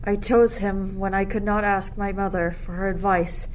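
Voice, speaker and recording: A woman's voice: mid-range voice, level tone, moderate pace, natural voice. Woman doing a devoted young royal lover, earnest formal shakespeare lines, mid voice, slightly noisy. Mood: earnest, reverent. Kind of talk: student radio-play Shakespeare acting. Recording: noisy recording with ambient sound texture.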